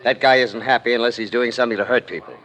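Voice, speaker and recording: Actor as slangy, devoted vaudeville hoofer. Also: male voice, mid-range voice, steady tone, quick pace, a bit bright, natural voice. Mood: grim, resolute. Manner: slangy, earnest. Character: devoted, protective vaudeville hoofer, rejected suitor. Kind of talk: 1940s radio acting.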